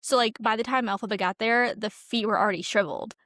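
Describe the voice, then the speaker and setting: A woman's voice: a little high, steady tone, quick pace, fairly bright tone. A woman co-hosting a casual podcast, chatty and sprinkling "like" through her sentences. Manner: chatty, heavy use of "like". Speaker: podcast co-host.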